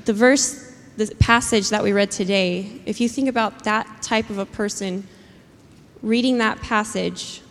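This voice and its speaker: A woman speaks an earnest faith reflection, pausing and restarting as she searches for words. Her voice carries a lot of vocal fry. The church hall around her adds a long, lingering reverb. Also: mid-range voice. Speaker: church member sharing a reflection.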